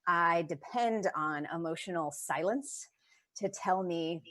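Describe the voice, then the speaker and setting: A woman with a distinctly low voice talks as a guest on a panel. She is thoughtful and enthusiastic, unscripted, and puts weight on her key words. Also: slow pace, pushed and bright.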